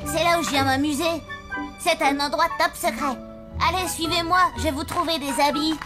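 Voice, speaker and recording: Woman playing an excitable high-pitched cartoon kid. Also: moderate pace, over music, lightly acted. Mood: friendly. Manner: bright, eager, expressive. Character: lively, excitable schoolchild. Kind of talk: French animated cartoon dub.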